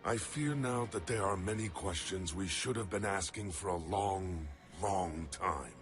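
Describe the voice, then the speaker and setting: An actor voicing a proud, troubled alien warrior in a video game, solemn, terse and formal. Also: male voice, low-pitched, a little slow. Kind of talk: video game cutscene dialogue. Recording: loud music under the voice. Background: loud cinematic music.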